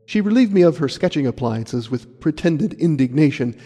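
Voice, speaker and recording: A man's voice: on the high side, animated, moderate pace, warm tone, slightly rough. A man reading a scripted story as an audiobook narrator in a reverberant recording. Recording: reverberant hall, faint music.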